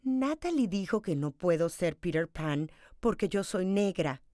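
A woman storyteller voicing an eager, sensitive young schoolgirl from a picture book, with expressive, earnest delivery. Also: on the low side, measured pace, dry recording, clean studio sound. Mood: hurt. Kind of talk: storybook character reading.

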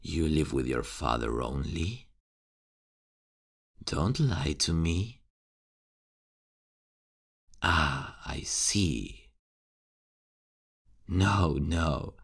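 A man playing a menacing, mocking male serial killer in an ASMR horror roleplay, taunting and chuckling in a hushed voice. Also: a bass voice, gravelly, slightly rough, exaggerated voice.

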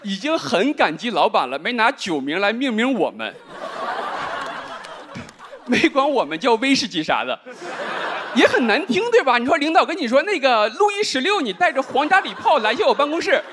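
High, lively-voiced man doing stand-up. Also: normal speed, background noise. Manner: dry, deadpan delivery with rhetorical asides. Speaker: stand-up comedian. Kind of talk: stand-up comedy set. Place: large indoor studio stage with live audience. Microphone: handheld microphone. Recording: live audience laughter.

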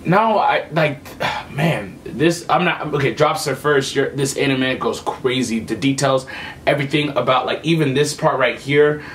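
A man's voice: medium pitch, unhurried, a bit bright, a little hiss or hum. A young man giving casual reaction commentary as a video host, emphatic and repeating his phrases. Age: young adult. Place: small home room with computer setup.

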